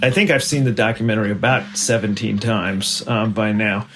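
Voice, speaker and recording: A man's voice: fairly low pitch, fairly even intonation, moderate pace, music in the background. A man speaking conversationally as an interviewee, a former executive.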